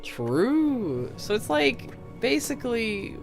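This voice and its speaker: A man's voice: very high-pitched, wildly swooping pitch, slow, vocal fry, faint music behind. A man giving casual, critical commentary on a game, opinionated and swearing freely. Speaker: video commentator.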